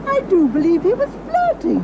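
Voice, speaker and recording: A woman's voice: very high-pitched, huge pitch swings, normal speed, dull audio, heavily acted. An actress playing a dotty, sweetly naive older lady in a television drama, dreamy, rambling and given to repeating herself. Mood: earnest, pleased. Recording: noisy outdoor boat-deck film sound.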